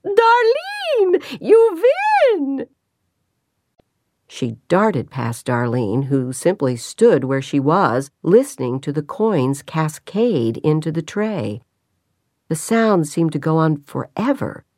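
Low, slow woman narrating a novel. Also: lively intonation, a little mellow, dry recording, studio quality. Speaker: audiobook narrator. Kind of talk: scripted narration.

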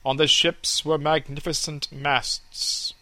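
A man voicing a worldly stork in a storybook, measured and matter-of-fact. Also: fairly high pitch, flat delivery, pushed and bright, full character voice. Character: worldly old stork, unhurried.

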